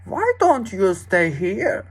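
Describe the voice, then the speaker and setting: A woman voicing a big, sly, child-eating monster in a fairy tale, with slow, drawn-out questioning. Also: mid-range voice, sing-song, breathy edge, full character voice. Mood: coaxing.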